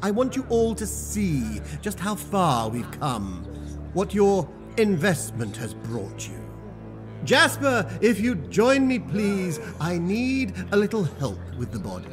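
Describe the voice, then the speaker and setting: Theatrical, grandiose necromancer showman, swooping pitch. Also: huge pitch swings, slow, music in the background, exaggerated voice. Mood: grand, showmanlike. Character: smooth showman necromancer hosting high-society exhibition. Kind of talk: fantasy audio drama acting.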